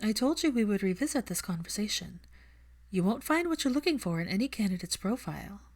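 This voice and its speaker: A woman's voice: medium pitch, big pitch swings, brisk, a little mellow. Audiobook heroine, played by a female reader: determined, caring woman, earnest, persuasive, insistent.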